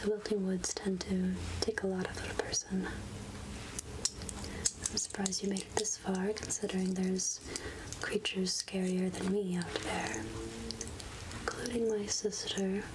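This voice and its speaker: A woman voicing a friendly, centuries-old witch of the woods in a soft-spoken ASMR roleplay, gentle and musing in a breathy whisper. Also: medium pitch, steady tone, heavy background noise, lightly acted. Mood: gentle, helpful. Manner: slow, gentle, musing, archly addressing a mortal. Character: three-hundred-year-old witch of the woods, friendly, wryly curious.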